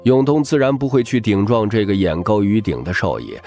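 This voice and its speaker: A man reads a novel aloud in a low voice. His delivery is dramatic and moves up and down a lot, in the manner of a storyteller, with faint music behind him. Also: very animated, a little fast, dark tone. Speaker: audiobook narrator. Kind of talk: scripted novel narration.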